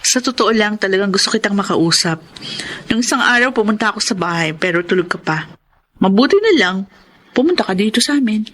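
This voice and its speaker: A woman's voice: medium pitch, animated, a little fast, fairly bright tone. A Filipino woman acting as a pregnant wife and responsible older sister in a radio drama. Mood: concerned.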